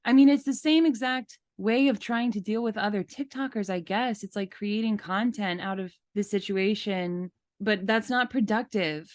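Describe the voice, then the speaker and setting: A woman's voice: medium pitch, normal speed, few pauses, a little mellow. A woman co-hosting a podcast, talking casually in an indignant, emphatic and opinionated way. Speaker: podcast host.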